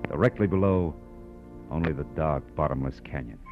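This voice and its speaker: Hard-boiled American detective, low voice, wry, old radio drama, muffled recording, music behind. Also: male voice, moderate pace. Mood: tense, wry narration. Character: hard-boiled male private detective, tough, brisk, wry. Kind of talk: 1940s radio noir acting and narration.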